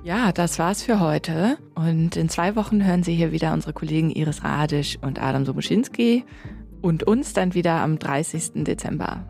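A woman co-hosting a book podcast, talking in a lively, friendly way about what she read. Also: sits low, moderate pace, gravelly, faint music behind. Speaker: podcast co-host, book critic. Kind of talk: casual book discussion.

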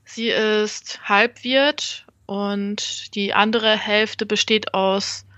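A woman's voice: medium pitch, little pitch movement, very slow, pushed and bright, no echo, muffled recording. A woman who is a stand-up comedian, guest on a casual podcast, explaining her views thoughtfully and at length and laughing easily. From Germany.

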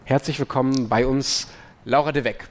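A man's voice: medium pitch, steady tone, moderate pace. Chatty male moderator, mid voice. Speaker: podcast host and moderator. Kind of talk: casual live panel chat.

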